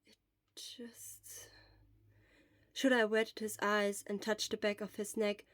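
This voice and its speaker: A woman's voice: medium pitch, monotone, normal speed, dry recording, studio quality. A woman reading scripted fiction aloud as the narrator. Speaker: podfic narrator.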